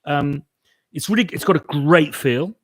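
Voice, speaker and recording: A man's voice: fairly high pitch, unhurried, no echo. A British man answering viewers' questions in a casual live chat, rambling with many restarts and fillers. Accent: British English. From Britain. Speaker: composer hosting a live Q&A.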